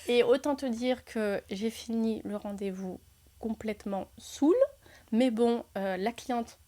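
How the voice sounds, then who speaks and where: A young woman co-hosting a real estate podcast, casually telling a lively anecdote with frequent fillers and laughs. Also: mid-range voice. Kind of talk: casual storytelling chat.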